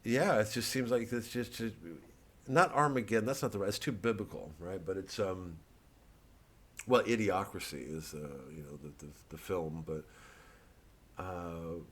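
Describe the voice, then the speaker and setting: A man, a satirical comedian, rambling casually and thoughtfully in a halting, digressive monologue with some swearing. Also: deep voice, breathy edge. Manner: halting, ruminative, digressive, profane.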